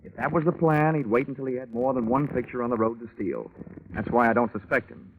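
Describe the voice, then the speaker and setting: A man's voice: mid-range voice, fast, lightly acted. An American man playing a brash, wisecracking hard-boiled investigator in a 1940s radio drama, with a wry, slangy delivery. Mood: irritated, sardonic. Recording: tinny, noisy 1940s radio recording.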